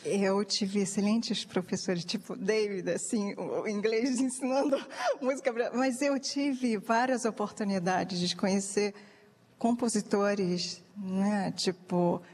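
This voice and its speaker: A woman's voice: mid-range voice, steady tone, slow pace, darkish tone. A woman from Japan answers casually as a musician being interviewed, hesitant as she searches for words. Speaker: pianist, interview guest. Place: large reverberant hall. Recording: reverberant hall.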